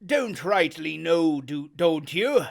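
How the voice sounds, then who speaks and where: A reader voicing a cunning, hearty one-legged old pirate innkeeper who talks loudly in sailor's slang. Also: medium pitch, big pitch swings, takes it slowly, creaky, pitched above the performer's own voice, full character voice. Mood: blustering. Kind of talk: audiobook character voice.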